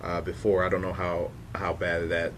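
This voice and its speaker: A man's voice: deep voice, completely flat delivery, moderate pace, noisy background. A man in his thirties hosting a casual interview, chatting in an informal, conversational way. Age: 30s. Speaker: show host.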